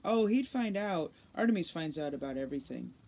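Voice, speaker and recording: A woman's voice: low voice, lively intonation, a little fast, a little mellow. A woman reading a storybook, voicing a vain, gushing, teasing teenage girl captor. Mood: vain chatty.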